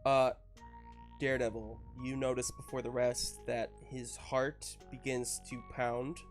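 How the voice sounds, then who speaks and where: A man runs a tabletop roleplaying game as game master. His voice sits at a middle pitch, and he improvises narration casually, often searching for words. Faint music plays behind him. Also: little pitch movement, measured pace.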